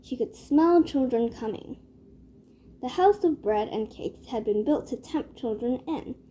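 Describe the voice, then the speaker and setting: A woman reads a children's story aloud in a measured way. Her voice sits fairly high but has a dark, mellow tone. There is a faint noise behind her. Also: lively intonation. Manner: measured read-aloud delivery. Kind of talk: scripted children's story narration.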